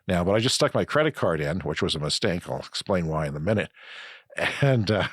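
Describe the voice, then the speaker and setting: Middle-aged podcast co-host, sick and raspy, low-pitched, meandering delivery, close dry mic. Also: male voice, big pitch swings, a little fast, no echo. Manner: rambling, self-correcting explanations. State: raspy from flu. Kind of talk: casual chat.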